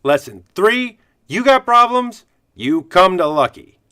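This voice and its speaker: A man playing a bright, fatherly bartender. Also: sits high, sing-song, a little slow, clean tone, dry recording, studio quality, heavily acted. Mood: worldly, coaxing. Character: gregarious fatherly male bartender to superheroes, wry and worldly. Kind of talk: comic radio play acting.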